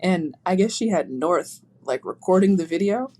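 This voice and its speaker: A woman guest on a casual podcast, joking and animated as she tells stories over a call. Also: on the low side, moderate pace, slightly rough. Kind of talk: casual chat. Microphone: remote call.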